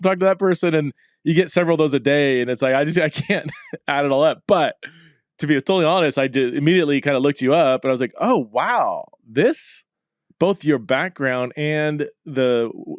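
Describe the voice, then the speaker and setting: A man's voice: mid-range voice, no echo. Male podcast host, casual and chatty, laughs easily, dry close sound. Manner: upbeat, chatty, laughs often. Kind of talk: casual interview chat.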